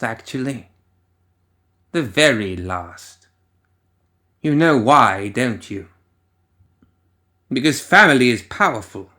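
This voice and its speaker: Ancient male necromancer villain, acted by a male reader: menacing, musing, theatrical, slow, with wide swings in pitch. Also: sharp tone, exaggerated voice. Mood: quietly menacing. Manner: theatrical, rambling, coaxing menace. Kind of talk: dramatic audio story monologue.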